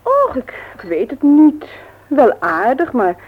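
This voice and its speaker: A young woman in an old radio play, reserved yet lively. Her voice is husky with very mobile intonation, on a dull lo-fi recording. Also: sits high, slow, exaggerated voice. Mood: reserved, sceptical. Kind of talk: Dutch radio play acting.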